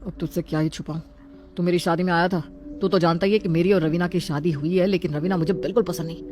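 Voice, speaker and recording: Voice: medium pitch, steady tone, quick pace, darkish tone, slight background noise, lightly acted. A performer voicing an arrogant, scornful young husband who talks harshly and haughtily in a storybook drama. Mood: troubled.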